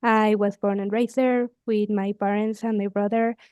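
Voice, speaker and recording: A woman's voice: mid-range voice, flat delivery, moderate pace, darkish tone, clear, resonant tone, no echo, clean studio sound. A young woman from Mexico with a Mexican accent, a student talking chattily with some hesitations in a speaking exam. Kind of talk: exam conversation.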